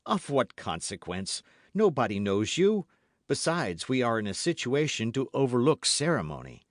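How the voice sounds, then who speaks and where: A man's voice: medium pitch, very animated, normal speed, no room sound, clean studio sound, voice pushed up, lightly acted. A man reading an audiobook as a brave, eager young guardsman with an emphatic, courtly way of speaking. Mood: eager, confident. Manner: emphatic, courtly, formal speech.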